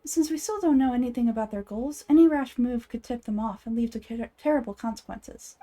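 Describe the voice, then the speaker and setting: A woman voicing a gentle, child-like goddess of wisdom in an anime game fan dub, measured, thoughtful and earnest. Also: a little high, lightly acted.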